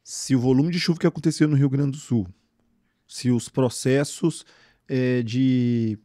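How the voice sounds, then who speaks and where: A man's voice: medium pitch, fairly even intonation, a little slow, dark tone, no echo, clean studio sound. A man hosting a podcast interview, posing long, layered questions with restarts. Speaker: podcast host.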